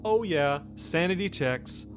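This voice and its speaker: A man narrating a tabletop horror game as game master, describing things in a halting, conversational, improvised way. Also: a little high, lively intonation. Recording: music playing under the voice. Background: background music.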